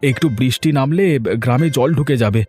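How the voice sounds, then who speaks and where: A man's voice: mid-range voice, brisk, darkish tone, soft background music, lightly acted. A man voicing a worried village husband in a cartoon, gloomy and brooding. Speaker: cartoon character.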